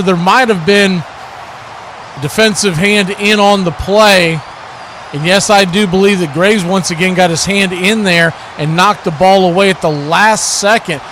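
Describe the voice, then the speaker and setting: A man calling American football play-by-play live on a stream, excitable and speaking in punchy, clipped phrases. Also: high voice, a little hiss or hum. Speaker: play-by-play commentator on a livestream watch party.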